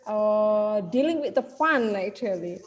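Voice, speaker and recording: A woman's voice: mid-range voice, expressive, a little slow, in a large hall, lo-fi sound. A woman with an Indonesian accent moderating a conference panel on a video call, hesitant and tagging phrases with "okay". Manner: hesitant, with frequent "okay" tags.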